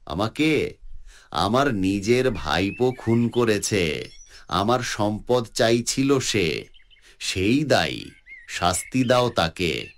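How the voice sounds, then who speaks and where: A man's voice: fairly low pitch, very animated, takes it slowly, pauses often, heavily acted. A man voicing a revived murder victim, grave and accusing, in a cartoon story. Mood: grave accusation.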